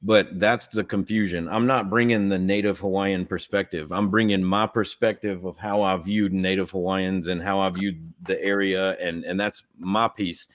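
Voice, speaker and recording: A man's voice: low-pitched, fairly even intonation, normal speed, hardly any pauses. A man, chatting casually and rambling on a livestream about a comic he co-created. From Southern US. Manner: rambling, heavy use of "like".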